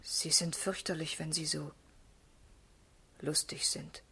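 An actress playing a gentle young lady in a German radio drama, fond and softly admonishing, with a husky, genteel voice. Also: deep voice, steady tone, slow, full character voice. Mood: chiding, concerned. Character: gentle young woman, fond, chiding, composed.

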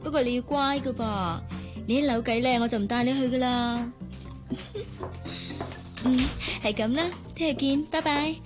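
Actress doing young hong kong cantonese office girl, high voice, picky n teasing, radio drama w music behind. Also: measured pace, pauses often, lightly acted. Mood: playful, teasing. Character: young office woman, choosy, sweetly teasing with suitors.

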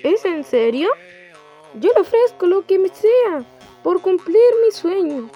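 A high, slow-spoken young woman acting a conceited, sly male fox from a radio play, with a Chilean accent and a whiny, boastful delivery. The recording is dull and lo-fi with music behind it. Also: sing-song, heavily acted. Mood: wistful, eager. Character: vain, deceitful male fox who loves to sing.

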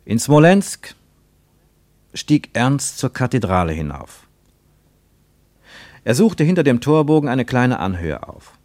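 A man reading scripted literary narration for radio in a measured, solemn manner. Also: medium pitch, sing-song, a little mellow, dry recording.

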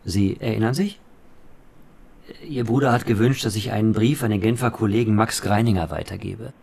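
Radio play negotiator: lowish, creaky, courteous, businesslike, quietly probing. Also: male voice, moderate pace. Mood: polite, probing. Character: polite, probing male negotiator interested in scientific papers. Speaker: actor in a radio drama.

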